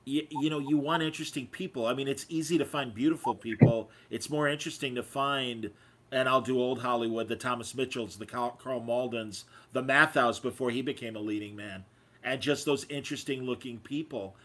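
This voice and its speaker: A man hosting a casual interview, conversational and a bit halting as he thinks aloud. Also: medium pitch, fairly even intonation, a bit bright. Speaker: podcast host.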